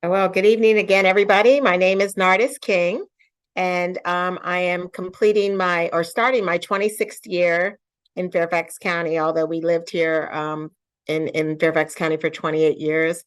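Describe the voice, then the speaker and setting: A woman's voice: lowish voice, fairly even intonation, moderate pace, smooth. A woman in her fifties, a school district equity officer, introducing herself on a video call in a chatty, joking way.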